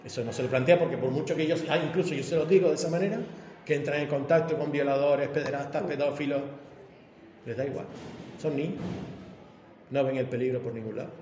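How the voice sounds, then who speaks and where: A man's voice: on the high side, steady tone, fast talker, a little mellow. A man in his fifties, a police officer who gives talks in schools, pressing a young guest with pointed, leading questions in a debate. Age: 50s. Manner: challenging, leading questions, emphatic. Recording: echoey hall with faint noise.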